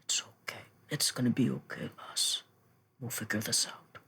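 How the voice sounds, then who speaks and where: A man playing a gruff but kindly sailor in a tabletop game, talking plainly and reassuringly to shipmates. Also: medium pitch, steady tone, moderate pace, sharp tone, in a whisper, full character voice. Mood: tender, hushed. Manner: tender, reassuring, calls people lass and lads. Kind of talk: tabletop roleplay dialogue.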